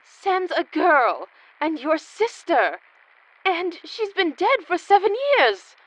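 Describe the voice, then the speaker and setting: Woman playing a high-voiced, weary family woman. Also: sing-song, takes it slowly, fairly bright tone, heavily acted, rough recording. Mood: weary, matter-of-fact. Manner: matter-of-fact, patronizing. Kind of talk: comedic radio play acting.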